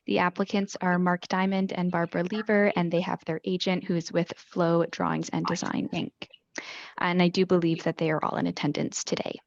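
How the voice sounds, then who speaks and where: Croaky woman planner with a sore throat. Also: on the low side, highs cut off. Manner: businesslike. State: losing her voice, sore throat. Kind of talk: formal presentation.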